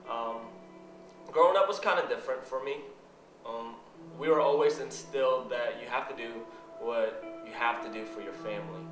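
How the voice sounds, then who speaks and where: A man's voice: mid-range voice, normal speed, a bit bright. A young man from the Philippines tells his faith story earnestly, stumbling and repeating words now and then. The sound is roomy. Music runs beneath his voice. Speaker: church member telling his story. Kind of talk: personal testimony.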